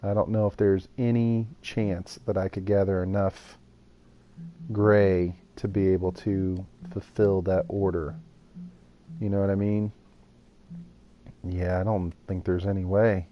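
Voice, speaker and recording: A man's voice: sits low, flat delivery, measured pace, pauses often, muffled recording. A man streaming a building game live, thinking aloud and chatting with viewers. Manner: thinks aloud while building, talks to chat. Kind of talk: casual live gameplay chat.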